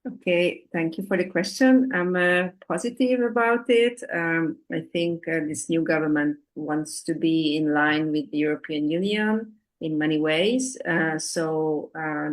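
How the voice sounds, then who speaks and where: A woman, answering audience questions in a halting way, full of ums and uhs, over a video call. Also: sits low, fairly even intonation. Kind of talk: hesitant Q&A answers, many fillers.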